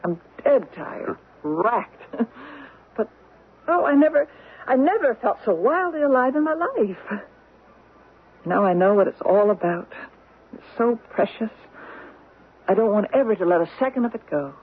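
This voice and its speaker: A tired, joyful woman in an old radio drama. Her husky voice gushes with breathless exclamations, heard through a dull vintage broadcast. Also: a little high, very animated, measured pace, long pauses, exaggerated voice. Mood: elated, weary. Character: elated, exhausted woman tourist in love with life.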